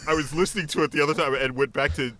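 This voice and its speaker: A man's voice: on the high side, very fast, pushed and bright. A man chatting casually and eagerly as a podcast cast member. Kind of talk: casual, animated chat.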